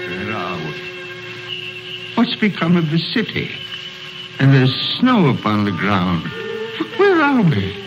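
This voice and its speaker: An actor playing a frightened, remorseful old miser in a 1930s radio drama, with a stammering, gasping, theatrical delivery. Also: male voice, medium pitch, huge pitch swings, takes it slowly, pauses often. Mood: amazed, wistful. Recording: dull old 1930s radio, music behind.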